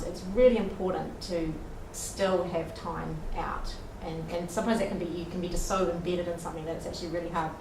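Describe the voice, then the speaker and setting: A woman's voice: fairly low pitch, far from the mic. A woman on a discussion panel, talking earnestly and conversationally with many fillers. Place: lecture room at an evening event. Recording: roomy hall from afar with noise.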